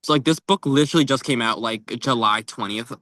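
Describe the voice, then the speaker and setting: A man's voice: mid-range voice, fairly quick, bright, forceful tone, no echo. A man co-hosting a book podcast in casual, informal chat, often padding his phrases with "like". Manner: informal, tentative phrasing with frequent "like". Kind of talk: casual chat about books.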